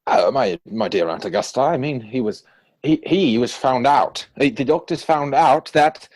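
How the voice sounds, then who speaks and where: A man playing a flustered, evasive young gentleman in a period stage comedy, with a stammering, hesitant manner. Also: medium pitch, lively intonation, normal speed, close to an everyday voice. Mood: nervous, evasive.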